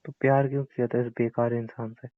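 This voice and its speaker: A man's voice: mid-range voice, level tone, brisk, no echo, phone-quality sound, lightly acted. A young man playing a hurt boyfriend who pleads and argues bitterly in a naturalistic audio drama of a couple's call. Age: young adult. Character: wounded, pleading young boyfriend with a failed business.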